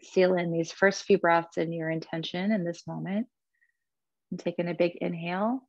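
Low, creaky-voiced woman guiding yoga. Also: fairly even intonation, no echo, lo-fi sound. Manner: soothing, gentle, unhurried instruction. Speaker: yoga and meditation teacher. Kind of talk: guided yoga class with breathing cues.

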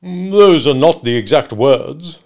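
A man's voice: fairly high pitch, expressive, slow, a little mellow, voice pushed up. A man voicing a flustered, defensive Englishman who pleads under questioning in a storybook reading. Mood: flustered, pleading. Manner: hesitant, defensive. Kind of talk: dramatic audiobook character reading.

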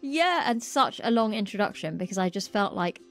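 A woman's voice: medium pitch, very animated, moderate pace. A woman in her thirties hosting an interview, reading an enthusiastic introduction into a studio microphone. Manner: enthusiastic, warm emphasis. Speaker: podcast host. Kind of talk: scripted guest introduction and interview. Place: pink-lit home studio. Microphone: studio mic with headphones. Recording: music bed behind voice.

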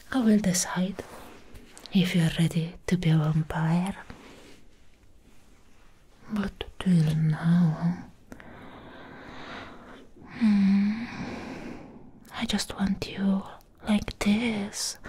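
Woman playing a slow, whispering, obsessive vampire ex-girlfriend. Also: on the low side, dark tone, a little hiss or hum. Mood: hungry, tender. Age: young adult. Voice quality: breathy whisper. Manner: slow, lingering, intimate, cooing. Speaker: ASMR roleplay performer. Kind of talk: soft-spoken whispered ASMR roleplay. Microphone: close mic, intimate.

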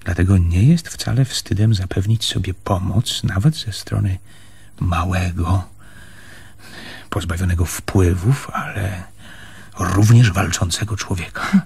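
A man's voice: low-pitched, measured pace, vocal fry, a little mellow, breathy edge, heavily acted. An actor playing a stubborn, earnest land surveyor in a radio play, hesitating and repeating words as he presses his point. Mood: earnest, wooing. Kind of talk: Polish radio play acting.

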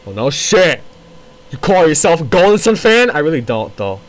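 Man, casual gameplay commentary, rambling, profane, lively up-and-down voice, music playing underneath. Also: fairly high pitch, normal speed. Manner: rambling, profane, laughs often.

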